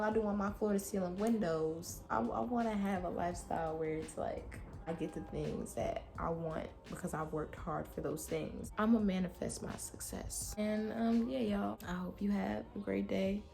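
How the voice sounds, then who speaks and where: Young female vlogger, low deep voice with a slight rasp, candid and emotional, talks straight to her viewers from her room. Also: normal speed, hardly any pauses, darkish tone, faint music behind. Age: young adult. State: raspy throat from a cold night. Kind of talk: personal reflective vlog.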